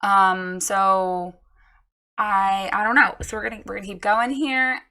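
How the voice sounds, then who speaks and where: A woman with a hoarse, froggy voice giving a frank, chatty critique of a website, with many ums and restarts. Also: mid-range voice, steady tone, unhurried, pushed and bright. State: hoarse, recovering from lost voice. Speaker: website reviewer giving feedback. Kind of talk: casual spoken critique.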